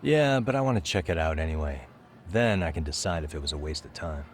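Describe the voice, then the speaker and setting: A very low male voice with an American accent, playing a calm, probing detective in a game cutscene. He talks casually and keeps things understated. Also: brisk, a little hiss or hum. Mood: focused. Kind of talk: video game cutscene acting, naturalistic.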